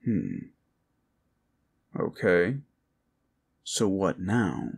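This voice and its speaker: A man's voice: low-pitched, sing-song, very slow, vocal fry, husky, full character voice. A man playing an informal, puzzled, down-to-earth sidekick in a fantasy audio roleplay. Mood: curious, wary. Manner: informal, questioning.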